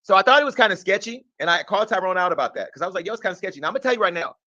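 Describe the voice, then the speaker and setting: Fast-talking man with a high, bright voice. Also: expressive, lo-fi sound. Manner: informal, sweary, rambling. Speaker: online show host. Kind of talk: casual commentary.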